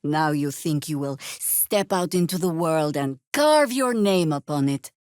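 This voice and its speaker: A veteran druid fighter for a fantasy video game. Her voice sits fairly low for a woman and is recorded dry and close. She sounds sardonic and commanding, with a theatrical weight to her phrasing. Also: lively intonation, measured pace, darkish tone, no room sound. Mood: wry, measured. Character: seasoned, sardonic female druid warrior and veteran adventurer.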